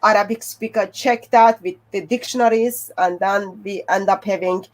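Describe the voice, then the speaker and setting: A Turkish woman with a Turkish accent explains her research informally in halting, non-native English. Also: medium pitch, steady tone. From Turkey. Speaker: guest researcher.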